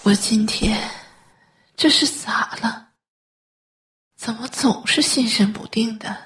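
Woman acting a husky, breathy, ailing old mother. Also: a little high, slow, no room sound, exaggerated voice. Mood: uneasy, weary. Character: anxious ailing elderly mother, suspicious and probing. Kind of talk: radio drama acting.

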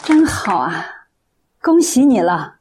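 A woman acting a kindly, earnest middle-aged auntie in a radio drama, speaking in gentle, encouraging praise. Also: mid-range voice, very animated, slow pace, heavy background noise. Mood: delighted.

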